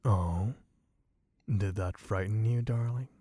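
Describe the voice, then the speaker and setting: A man voicing a suave, possessive, bloodthirsty vampire in a low, husky, teasing voice for an ASMR roleplay. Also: steady tone, warm tone, dry recording, clean studio sound. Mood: smug, seductive. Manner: slow, teasing, theatrically genteel, purring endearments.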